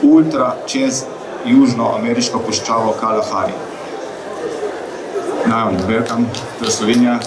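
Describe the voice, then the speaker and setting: A man introducing a guest speaker on stage, halting and repeating words. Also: medium pitch, steady tone, heavy background noise. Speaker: event host. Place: large hall with an audience. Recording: echoey hall with crowd.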